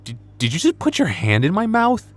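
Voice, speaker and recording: A man's voice: high voice, huge pitch swings, fairly quick, soft background music. A man acting a flustered young vampire store clerk in an audio roleplay, stammering nervously and awkwardly. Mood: panicked pleading. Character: flustered young male vampire working convenience store night shift. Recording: faint background noise.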